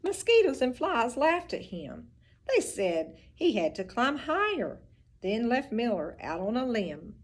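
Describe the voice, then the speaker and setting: A teacher reading a picture book aloud, with expressive storybook delivery and little asides about the pictures. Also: female voice, a little high, a little slow, slight background noise.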